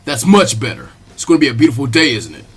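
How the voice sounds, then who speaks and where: A man as a low, stern squad leader. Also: sing-song, quick pace, breathy edge, exaggerated voice. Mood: wry. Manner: firm, wry, lecturing. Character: stern, protective adult male ninja squad leader.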